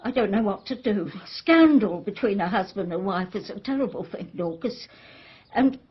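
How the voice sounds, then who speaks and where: An actress playing a loyal, deferential household servant in a radio drama, earnest in manner. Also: female voice, mid-range voice, expressive, moderate pace, breathy edge, lo-fi sound.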